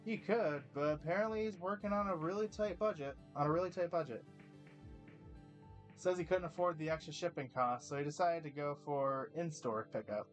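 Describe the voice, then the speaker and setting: A man reading as a cocky older college guy who ribs his younger friend without letup, his voice pushed up high and bright. He talks in a brash, playful way, with faint music under him. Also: normal speed, lightly acted. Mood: teasing. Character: teasing older male college buddy.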